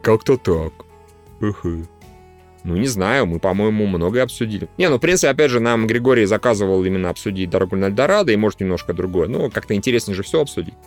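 A man's voice: on the low side, expressive, fast, faint music behind. A man hosting a podcast, chatting casually about animation in informal, blunt slang. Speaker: podcast host.

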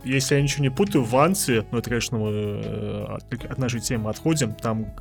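A man's voice: medium pitch, fast talker, vocal fry. A man co-hosting a podcast in a casual discussion of films. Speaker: podcast co-host. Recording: music bed behind the voice.

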